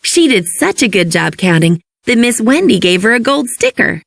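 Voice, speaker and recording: A woman reading a children's storybook as an expressive narrator. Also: a little high, moderate pace, vocal fry, no echo.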